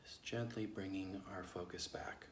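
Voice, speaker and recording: A man leading a guided meditation as a teacher, speaking gently and slowly with long pauses. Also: sits low, fairly even intonation. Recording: echoey room with faint background noise.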